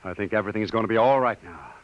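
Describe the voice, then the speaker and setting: A man playing a calm, secretive man leading a fugitive to a hideout, in 1950s radio drama style, steady and low-voiced. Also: rapid-fire. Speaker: radio actor playing Mister Wade. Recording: dull old radio broadcast with hiss.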